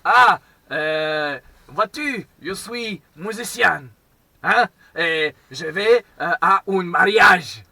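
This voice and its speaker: Un homme joue un musicien mexicain susceptible, avec un faux accent mexicain et des mots espagnols. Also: male voice, high voice, extremely slow, gravelly, pushed and bright, no room sound, heavily acted. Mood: hesitant. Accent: put-on Mexican Spanish accent in French. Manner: mixes Spanish words, hesitant, hot-tempered. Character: touchy Mexican musician man, Carlos, hitchhiking.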